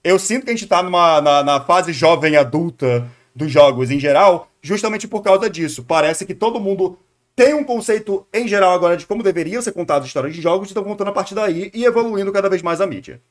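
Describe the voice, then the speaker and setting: A fairly high-voiced man with a Brazilian accent talks off the cuff as a streamer. He explains his opinions in loose, slangy speech, as if answering a live chat. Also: a little fast. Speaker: streamer reacting to a video.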